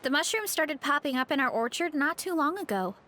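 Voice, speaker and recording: Sunny young heroine with a big appetite, high voice, hesitant warmth. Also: female voice, animated, fairly quick. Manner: friendly, a little hesitant.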